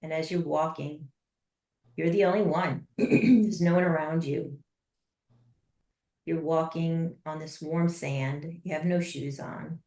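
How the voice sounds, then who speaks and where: Low, steady-voiced woman guiding a meditation. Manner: slow, soft and unhurried with long pauses. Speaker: guided meditation leader. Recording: roomy echo.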